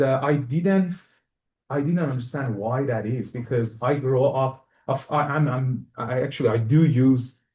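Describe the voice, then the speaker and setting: A man in his thirties from Iran speaks with a Persian accent to a live audience. He talks in a friendly, searching way, pausing on fillers and restarting phrases as he thinks aloud. The voice sits at an ordinary middle pitch. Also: darkish tone. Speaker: guest lecturer. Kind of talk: live academic lecture to an audience. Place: lecture hall.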